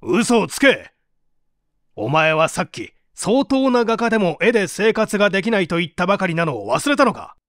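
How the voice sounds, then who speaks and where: A man's voice: high-pitched, sing-song, normal speed, fairly bright tone, no echo, studio quality. A man playing a stern, relentless prosecutor with an authoritative, pressing manner in a dramatised mystery reading. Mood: angry, accusing. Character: stern male prosecutor, relentless, accusing interrogator. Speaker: audiobook reader.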